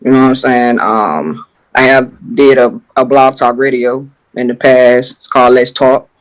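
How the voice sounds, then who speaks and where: A man's voice: mid-range voice, measured pace, rough recording. Easygoing man in his thirties. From Birmingham, Alabama. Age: 30s. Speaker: podcast guest.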